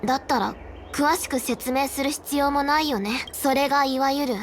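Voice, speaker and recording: A woman's voice: sits high, fast talker, a little hiss or hum, lightly acted. A woman playing a headstrong, earnest young girl in a video game, speaking in an insistent, matter-of-fact way. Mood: earnest, explanatory. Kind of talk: Japanese game voice acting.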